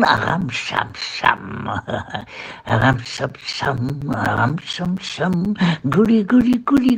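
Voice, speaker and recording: Gravelly, gleeful villain sorcerer. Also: male voice, medium pitch, fairly bright tone, full character voice. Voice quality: gravelly, raspy. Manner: drawn-out, swooping delivery with chanted spells. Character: scheming male villain sorcerer, gleeful and theatrical. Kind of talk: German audio drama acting.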